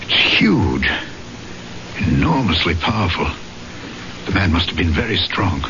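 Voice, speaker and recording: A man's voice: low voice, big pitch swings, a little slow, pushed and bright, lo-fi sound, a little hiss or hum, exaggerated voice. A man acting in an old radio mystery, playing a grave, haunted and impatient French magistrate who also narrates, with theatrical delivery. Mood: uneasy awe. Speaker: narrator and lead character. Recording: band-limited vintage radio drama with music behind.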